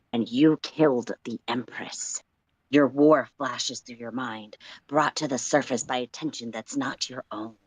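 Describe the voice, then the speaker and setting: Androgynous mid-range storyteller, dry sound, measured and portentous delivery of dramatic narration. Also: medium pitch, no echo, poor audio. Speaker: livestream co-reader.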